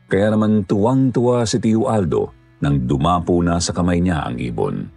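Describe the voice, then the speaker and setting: Deep, dark-voiced male story narrator. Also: vocal fry, husky. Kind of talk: scripted horror story narration.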